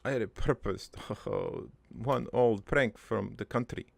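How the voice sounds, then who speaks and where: A man playing a gruff, crude army veteran turned prankster, telling his regretful life story in a halting, matter-of-fact ramble for an improvised cartoon monologue. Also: fairly low pitch, no echo, full character voice. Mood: proud, mischievous.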